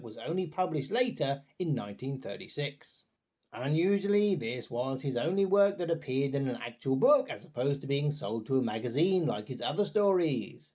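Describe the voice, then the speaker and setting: A man with a fairly high, very expressive voice narrates a scripted review. He sounds chatty and wry, dropping playful asides as he presents.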